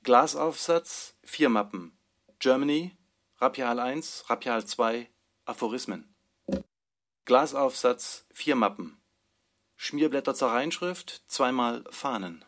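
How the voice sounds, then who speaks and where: Guy slowly reading inventory stuff for radio, short clipped list-like phrases, middle pitch. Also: a bit bright. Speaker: reader in a radio production. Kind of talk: scripted reading of an archive inventory.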